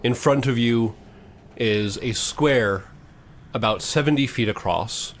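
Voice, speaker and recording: A man hosting as game master, narrating a tabletop fantasy game in a halting, descriptive way. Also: medium pitch, slight background noise. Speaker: game master, podcast host.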